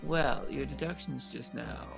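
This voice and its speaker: Man acting a polite young sidekick, very gravelly fry, halting, sceptical, game fan dub, music clearly behind. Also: mid-range voice. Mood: hesitant. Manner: halting, apologetic. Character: hesitant, polite young male detective's partner, gently sceptical.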